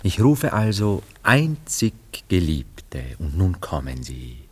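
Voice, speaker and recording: An actor in a German radio play voicing a melancholy, sentimental philanderer in a solemn, wistful, theatrical manner. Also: male voice, deep voice, big pitch swings, takes it slowly, a little mellow, breathy edge, full character voice. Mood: dreamy, sentimental. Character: melancholy, sentimental male philanderer parting with his past.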